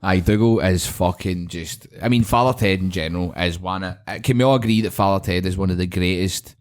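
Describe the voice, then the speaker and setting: A man's voice: low-pitched, a little fast. A young Scottish man co-hosting a podcast in a casual, sweary chat, often laughing and acting out scenes. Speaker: podcast co-host.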